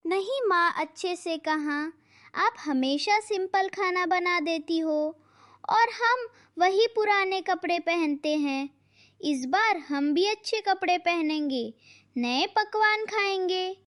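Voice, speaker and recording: An eager little schoolboy in a cartoon moral story. The voice is extremely high and slow, stretching words out in a pleading, childlike way, recorded dry and close. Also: fairly bright tone, no room sound, full character voice. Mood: complaining. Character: eager young schoolboy son pleading with his mother. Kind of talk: Hindi cartoon story dialogue.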